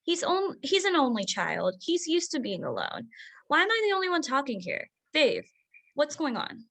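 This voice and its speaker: A woman playing an overworked, proud doctor mother in a staged drama reading, brisk, insistent and defensive. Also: sits high, huge pitch swings, vocal fry, a bit bright, full character voice.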